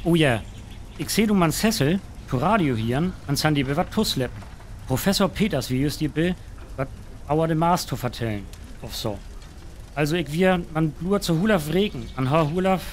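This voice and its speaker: A man's voice: on the high side, lively intonation, moderate pace, a little hiss or hum, natural voice. A man playing a flustered, naive rural eyewitness who rambles through a radio play interview. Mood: muddled, eager.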